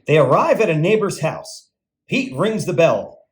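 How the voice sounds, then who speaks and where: A man narrating a picture book for young children in an animated read-aloud style. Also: a little high, unhurried.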